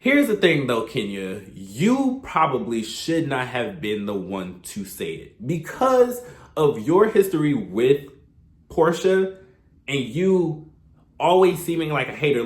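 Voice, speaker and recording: Congested male recap vlogger, mid pitch, chatty and opinionated, roomy echo. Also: measured pace. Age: adult, past his thirties. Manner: chatty, opinionated, animated with sudden high exclamations. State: congested from a cold. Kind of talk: casual recap commentary.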